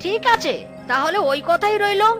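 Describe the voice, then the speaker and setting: Casting: woman for easygoing fox husband, cartoon, very high pitch, animated intonation, teasing. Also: moderate pace, soft background music. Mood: jovial. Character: lazy, good-humoured grown fox husband and father, a fisherman. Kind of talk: animated children's cartoon dialogue.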